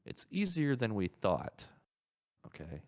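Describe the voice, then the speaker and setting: A man's voice: on the low side, huge pitch swings, slow pace, darkish tone. A man co-hosting a casual gaming podcast, thinking aloud hesitantly and often repeating his words. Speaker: podcast co-host.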